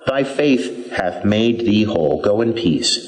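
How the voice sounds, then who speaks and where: A man voicing a calm, authoritative male teacher, speaking gently and formally in his own reading voice. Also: lowish voice, slow pace, echoey room, low-quality recording. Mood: gentle. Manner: gentle, commanding, formal old-fashioned speech.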